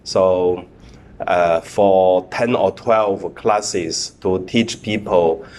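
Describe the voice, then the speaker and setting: A man, a priest whose first language is Mandarin, preaching a sermon in halting speech with many fillers and repeated words. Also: low-pitched, darkish tone, a little hiss or hum.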